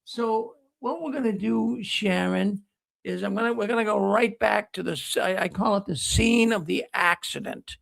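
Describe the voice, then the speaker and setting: A man's voice: high voice, normal speed, vocal fry, a bit bright. A man hosting a talk program, rambling and often repeating words as he restarts sentences. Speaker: host. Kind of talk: casual talk show hosting.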